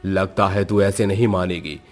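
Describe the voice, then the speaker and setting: A man playing a calm, commanding tantric holy man in a horror story, with an authoritative, measured manner. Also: low-pitched, faint music behind. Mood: stern. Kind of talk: dramatized horror story acting.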